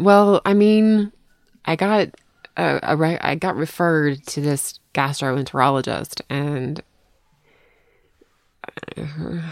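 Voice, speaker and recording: A hoarse woman co-hosting a podcast, telling stories casually and often laughing at herself. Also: sits low, dry recording, clean studio sound. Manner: laughing, self-deprecating storyteller. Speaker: podcast co-host. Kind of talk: casual chat.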